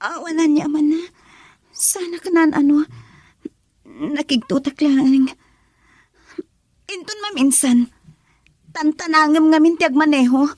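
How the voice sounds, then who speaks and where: An actress with an Ilocano Filipino accent playing a frank, soft-hearted young woman in a radio drama. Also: high-pitched, slow, pauses often, no echo. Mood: shy, frank.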